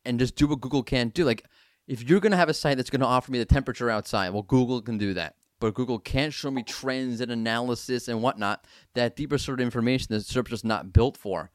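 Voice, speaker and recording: A man hosting a podcast, talking casually and emphatically in quick bursts. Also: mid-range voice, dry recording, studio quality. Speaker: podcast host.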